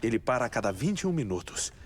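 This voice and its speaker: A man with a Brazilian accent playing an eccentric, courteous, grieving scientist in a video game dub, speaking in a measured, articulate way. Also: mid-range voice, sing-song, background noise, natural voice. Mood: matter-of-fact. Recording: music under the voice.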